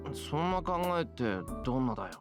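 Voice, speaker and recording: A man's voice: fairly high pitch, sing-song, very fast. A man playing an earnest, bewildered teenage schoolboy hero in a Japanese visual novel. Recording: background music under game dialogue.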